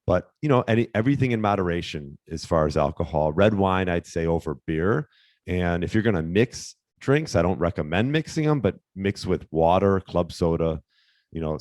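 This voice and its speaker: A man hosting a casual solo fitness podcast, slightly hoarse, talking in a relaxed, rambling way with fillers and restarts. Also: low-pitched, no echo. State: slightly hoarse, losing his voice. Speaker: podcast host, fitness coach.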